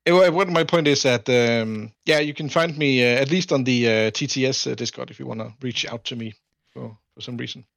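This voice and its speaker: Danish-accented man chatting, mid-pitched, dry sound. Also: creaky, a bit bright, dry recording. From Denmark. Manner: halting, repeats words, checks with "right?". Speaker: podcast guest. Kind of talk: casual chat.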